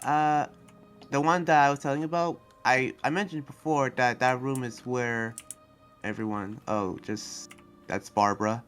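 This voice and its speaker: An earnest demon slayer who doubles as a researcher, played by a man in an anime Minecraft roleplay. He talks in a mid-pitched voice, halting and often trailing off as he explains things, with faint music underneath. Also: fairly even intonation, fairly bright tone, clean tone, lightly acted. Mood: hesitant, showing around. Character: young male demon slayer and researcher, earnest, hesitant guide.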